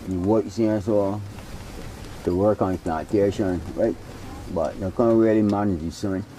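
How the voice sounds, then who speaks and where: A man's voice: deep voice, warm tone, a little hiss or hum, low-quality recording. A man explaining history hesitantly, with ums and tag questions. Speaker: interviewee.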